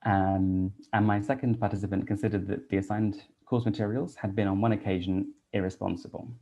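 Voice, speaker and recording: Low-voiced, mellow man giving a talk. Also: flat delivery, muffled recording. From Wales. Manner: measured, read-out delivery with soft "um" fillers. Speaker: English teacher and researcher. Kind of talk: prepared conference talk.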